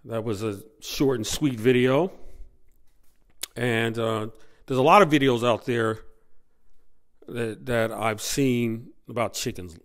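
A man hosting a video, giving unscripted commentary in a halting way, repeating and stressing his points. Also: mid-range voice. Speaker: video host.

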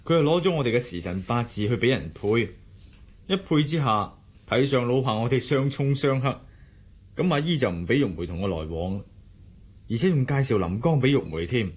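A man's voice: mid-range voice, animated, moderate pace, slightly rough. A man with a Hong Kong Cantonese accent acting an earnest, devoted young husband in a radio drama, defensive and teasing by turns. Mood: explaining.

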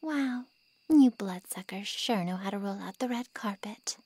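A woman playing a snide, taunting female monster in an ASMR roleplay, with a sarcastic drawl and a breathy, half-whispered voice. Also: mid-range voice, measured pace, a little mellow. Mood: mocking, amused. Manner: sarcastic, insulting, teasing drawl.

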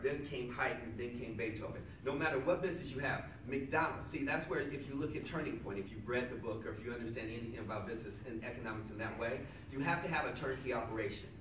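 A man giving a motivational seminar talk to a room of people, loud and emphatic, repeating his key lines back to the crowd. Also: medium pitch. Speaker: seminar speaker. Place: hotel conference room with seated audience. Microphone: far camera at back of room, no microphone. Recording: echoey room from far off with audience noise.

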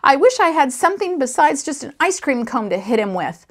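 Woman as resentful young boy, raised pitch, sarcastic, blurting, hot-tempered, children's storybook character. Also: very animated, normal speed. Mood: upset, resentful.

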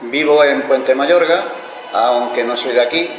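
An older man from Spain sits indoors, telling his life story in a plain, unhurried way. His voice sits in a middle register. The room rings with a long echo, and a faint hiss runs under him. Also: mid-range voice. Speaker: interviewee, amateur musician. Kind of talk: casual recollection to camera. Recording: echoey room with faint noise.

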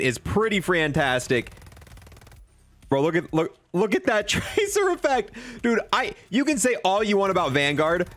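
A man's voice: high-pitched, a bit bright, no room sound. A young man giving casual, enthusiastic, slangy commentary as he reviews a video game. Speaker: gaming video creator.

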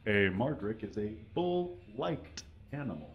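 A man giving a live comedic reading of fan fiction on a small stage, mostly dramatic with deadpan asides, reading punctuation aloud. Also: fairly low pitch, unhurried, heavy background noise. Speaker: comedic reader. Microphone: stand mic. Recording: dull, lo-fi live recording.

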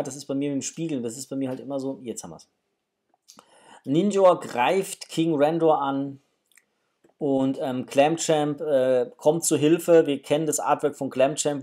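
Casual, enthusiastic man, medium pitch. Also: a little mellow. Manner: enthusiastic, informal. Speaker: toy review channel host. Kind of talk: casual unboxing and review chat. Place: small home room, indoors.

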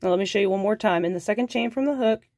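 A woman with a head cold leaves her voice nasal as she walks through crochet stitches step by step. She is calm and patient and counts each stitch aloud. Her pitch sits in the middle, and the recording is dry and close with no room sound. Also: very fast, vocal fry, dry recording. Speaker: crochet instructor. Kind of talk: step-by-step craft tutorial.

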